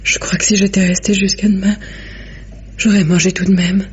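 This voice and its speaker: A woman playing a frightened, weakened victim in an old French radio mystery, speaking in a halting, plaintive way. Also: mid-range voice, flat delivery, close to an everyday voice. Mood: fearful, weary. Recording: dull old radio recording, faint noise.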